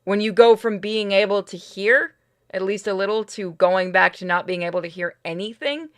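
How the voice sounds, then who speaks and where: A woman's voice: medium pitch, moderate pace, smooth. A woman live-streaming a game and chatting casually with viewers, informal and rambling as she explains things. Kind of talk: casual live-stream chat over gameplay.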